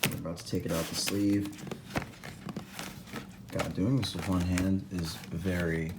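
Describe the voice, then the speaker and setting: Hoarse man, deep voice, casual vlog, excitable, repeats himself for emphasis. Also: unhurried, heavy background noise. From Atlanta, US. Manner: enthusiastic, repeats words for emphasis. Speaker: esports news vlogger. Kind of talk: casual vlog talk to camera.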